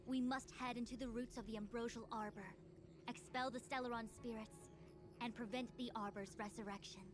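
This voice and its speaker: Over prominent music comes a brisk, serious female diviner from a video game, acted by a woman. Her voice sits fairly high. She speaks formally, like someone used to giving orders and pressing for action. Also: steady tone, close to an everyday voice. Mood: urgent, resolute. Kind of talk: scripted video game voice acting. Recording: background music under the voice.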